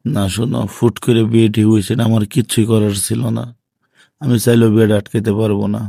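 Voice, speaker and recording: Regretful young Bangladeshi boyfriend played by a man, subdued and pleading, creaky husky voice, phone-call drama. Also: on the low side, flat delivery, fast talker, warm tone, no echo, clean studio sound. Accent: Bangladeshi Bengali.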